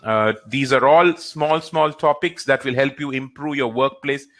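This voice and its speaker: A trainer works through audience questions in a live session. His voice sits at a middle pitch, and his delivery is relaxed and halting, with many fillers. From India. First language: Hindi or Gujarati.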